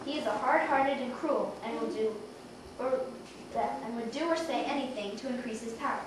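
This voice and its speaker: A woman with a high voice narrates a children's story from a script. She sounds distant, in a very echoey room with clear background noise. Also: normal speed.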